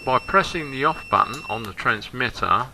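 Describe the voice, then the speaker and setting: A man narrating a hobby product demonstration step by step, in a plain-spoken, deliberate way with hesitant pauses. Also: medium pitch, lively intonation, sharp tone, a little hiss or hum, rough recording. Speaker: hobbyist presenter demonstrating a model train radio control.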